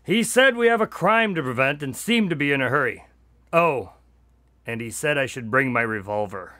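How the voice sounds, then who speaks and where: Bright-voiced, long-suffering detective's sidekick. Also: male voice, medium pitch, animated, moderate pace, no echo. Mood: weary, puzzled. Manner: conversational, dry, long-suffering. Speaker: actor in a radio drama. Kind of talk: modern radio drama acting.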